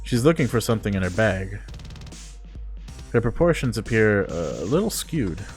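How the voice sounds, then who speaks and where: A man's voice: fairly low pitch, big pitch swings, normal speed. A man streamer reading a visual novel aloud, breaking off for jokey, mock-disgusted casual asides. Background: music.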